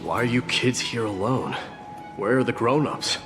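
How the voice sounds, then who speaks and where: A man's voice: medium pitch, lively intonation, over music, lightly acted. Man acting stern princely warrior in reverberant hall. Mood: reserved concern. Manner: formal, measured, commanding. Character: proud stern crown prince of a warrior people. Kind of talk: video game voice acting.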